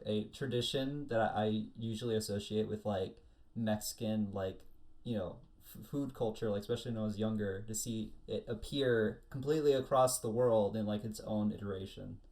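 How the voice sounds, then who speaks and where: A man's voice: on the low side, brisk, a little mellow. A man co-hosting a casual discussion, explaining things in a rambling, conversational way. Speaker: podcast co-host. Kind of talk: casual discussion of food anime.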